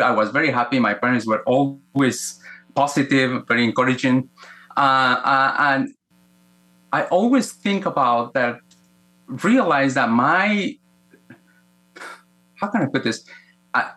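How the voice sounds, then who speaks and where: A man from Guatemala with a Guatemalan Spanish accent, telling his story conversationally as an interview guest, often halting and rephrasing. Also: medium pitch, creaky, bright, forceful tone, rough recording. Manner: halting, with repeated words and self-corrections. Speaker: podcast guest, executive coach.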